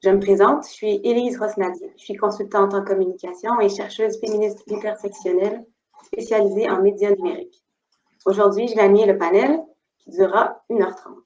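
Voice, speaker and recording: A woman with a Québécois French accent hosting an online panel over a video call, speaking in a measured way with frequent hesitations. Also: medium pitch, fairly even intonation, darkish tone, roomy sound, dull audio. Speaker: panel moderator.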